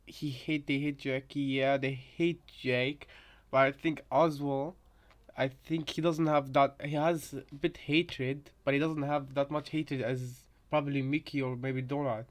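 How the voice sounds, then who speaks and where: A man with a mid-pitched, fairly monotone voice chats casually as a co-commentator. He thinks out loud, circling back and repeating himself while he works out what things mean. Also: measured pace. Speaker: co-commentator reacting to game voice lines.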